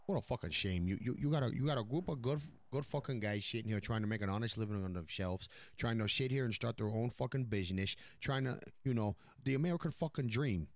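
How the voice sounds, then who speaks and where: A man playing a gruff, profane New York mafia boss in improvised online roleplay, emphatic and repeating himself. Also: medium pitch, fast, few pauses, dry recording, close to an everyday voice. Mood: scornful.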